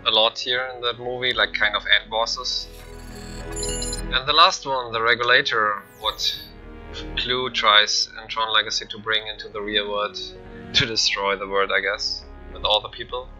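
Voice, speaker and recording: A man giving casual, unscripted commentary for a game showcase, explaining loosely in halting phrases. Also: on the low side, fairly even intonation, bright, forceful tone, lo-fi sound, soft background music. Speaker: gaming channel narrator.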